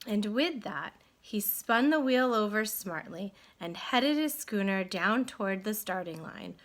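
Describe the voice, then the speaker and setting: A woman teacher reading a storybook aloud to children in an expressive, guiding way. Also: medium pitch, measured pace. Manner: addresses children directly, guiding them with questions. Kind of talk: read-aloud lesson, expressive narration.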